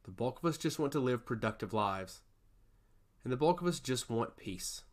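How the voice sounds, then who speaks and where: A male narrator voices a young prince from a fantasy storybook. He is earnest and deferential, pleading for peace. His pitch moves freely at a middling height. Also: animated, moderate pace, lightly acted.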